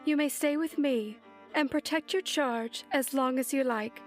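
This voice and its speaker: A sheltered, kindly noblewoman of dark fantasy audio drama. Her voice is high, and her manner is courtly and earnest. Faint music plays behind her. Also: unhurried. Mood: eager, gracious.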